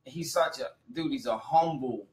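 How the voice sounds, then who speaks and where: A man in his thirties in a casual podcast chat, talking in animated, slangy bursts. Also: mid-range voice, normal speed, roomy sound, low-quality recording. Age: 30s. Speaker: podcast co-host, rapper.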